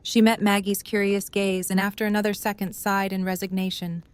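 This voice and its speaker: A woman's voice: mid-range voice, little pitch movement, brisk, clean tone. A woman serves as narrator for an audio drama. She reads scripted third-person story prose in a mid-range voice.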